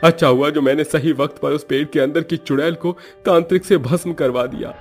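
A man's voice: on the high side, fairly even intonation, brisk, soft background music, pitched above the performer's own voice, lightly acted. A man voicing an anguished young husband and son in a horror cartoon, with a pleading, melodramatic manner. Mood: relieved.

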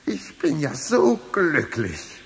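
An actor playing an excitable, doting old king in a children's audio play. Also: fairly high pitch, slow pace, creaky, exaggerated voice. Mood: giddy, delighted.

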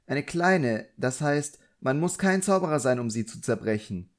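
A man's voice: medium pitch, expressive, normal speed, pitched above the performer's own voice, lightly acted. A man voicing a nervous, eager young apprentice boy in an audiobook, earnest and deferential. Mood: anxious, eager. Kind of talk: audiobook character acting.

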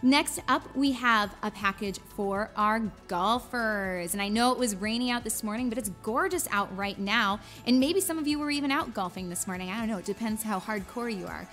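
A woman's voice: medium pitch, lively intonation, moderate pace, hardly any pauses, fairly bright tone, soft background music. A woman in her thirties hosting a fundraising auction in a chatty, encouraging way, urging people on. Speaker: auctioneer and gala host. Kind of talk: live fundraising auction presenting. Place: studio set.

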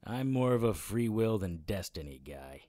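A man's voice: lowish voice, very animated, moderate pace, mellow, no room sound, studio quality. A man playing a defiant, wry occult hero in a radio drama, plainspoken in both narration and dialogue.

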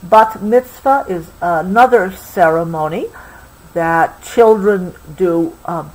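A woman reading a picture book aloud to a child, patiently pausing to explain things along the way. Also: on the low side, a little mellow, low-quality recording. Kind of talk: expressive storybook read-aloud with explanatory asides.

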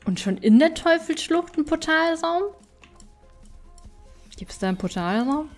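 A woman's voice: sits high, lively intonation, measured pace, soft background music. A woman giving casual let's play commentary, chatty and thinking aloud as she goes. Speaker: gaming streamer and let's play host.

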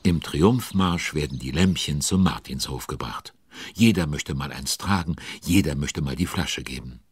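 A man narrating a children's audio drama as a genial storyteller, expressive and chatty with young listeners. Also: extremely low pitch, normal speed, breathy edge. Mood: cheerful.

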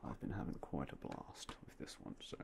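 A young man whispering softly and politely as a game shop clerk in an ASMR roleplay, close to a binaural mic. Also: moderate pace, noisy background. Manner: quiet, whispery, polite shopkeeper patter.

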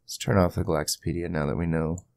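A man giving a casual screen-capture software tutorial, thinking aloud informally as he goes. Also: low-pitched, steady tone, quick pace, gravelly, no echo, studio quality. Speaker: tutorial host.

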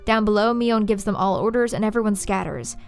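A woman video essayist reading scripted story analysis, dramatically, with deliberate pauses. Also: medium pitch, soft background music.

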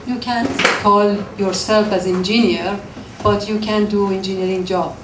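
A woman's voice: medium pitch, steady tone, measured pace. A woman in her forties speaking on a panel with a handheld mic, earnestly giving newcomers practical job advice. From came to Canada as a newcomer. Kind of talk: panel discussion. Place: classroom panel table. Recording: echoey classroom, faint noise.

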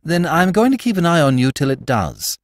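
Man playing a suave, animated gentleman thief. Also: on the high side, a little fast, pitched above the performer's own voice. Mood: brisk. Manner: articulate, teasing, assured.

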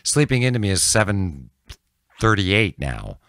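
An older man chatting casually as a podcast guest, telling stories in an animated way and repeating phrases for emphasis, close on the microphone. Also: on the low side, measured pace, dry recording. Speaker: podcast guest, TV news broadcaster. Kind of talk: casual chat, storytelling. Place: small indoor room with bookshelves. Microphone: close podcast microphone on arm.

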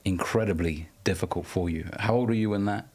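A man with a very deep voice hosts an interview podcast in a studio. He speaks earnestly and carefully, feeling his way into each question in a conversational style. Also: a little fast, a little mellow, slightly rough.

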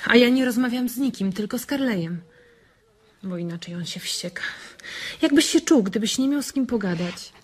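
Husky, lonely young farm wife. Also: female voice, a little high, lively intonation, normal speed, darkish tone. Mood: coaxing. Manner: colloquial, coaxing, self-pitying. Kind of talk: radio drama acting.

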